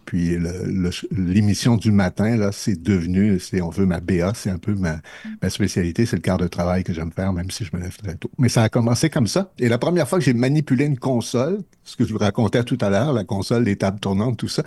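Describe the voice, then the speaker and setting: A man with a Québécois accent, a radio host, telling stories in a relaxed, rambling way with many pauses during a casual on-air chat in a studio. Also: lowish voice, husky, no room sound. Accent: Québécois French. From Matane, Quebec.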